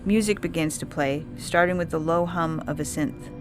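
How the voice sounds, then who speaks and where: A woman narrates a short story from a script. The voice is on the low side for a woman, with music clearly playing behind it. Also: moderate pace. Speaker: story reader.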